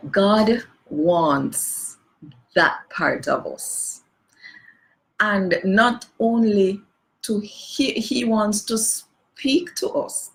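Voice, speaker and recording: A woman's voice: fairly low pitch, gravelly, rough recording. A Jamaican woman leading a livestreamed worship reflection, earnest and emphatic, pressing her points with rhetorical questions. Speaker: worship leader. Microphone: phone or webcam on a live stream.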